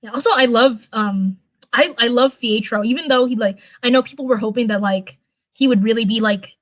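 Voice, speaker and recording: A young woman with a fairly high voice rambles through a relaxed livestream chat, piling up fillers and restarts as she talks to her viewers. Her voice is turning hoarse after hours of talking, and the sound is dry and close with no room echo. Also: a little fast.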